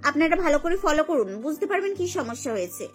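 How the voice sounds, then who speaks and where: An earnest cartoon lady doctor, voiced by a woman, explaining with concern. Her pitch is very high and light music plays behind her. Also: very animated, fast talker. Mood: serious, explaining. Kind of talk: cartoon voice acting.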